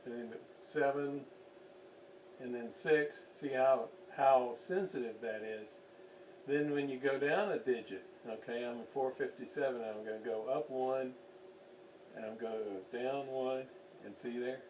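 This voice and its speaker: A man giving an unscripted hands-on demonstration at a workbench, halting as he thinks aloud while he works. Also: mid-range voice, steady tone, darkish tone. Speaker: radio restoration hobbyist, demonstrator. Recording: distant mic with faint background noise.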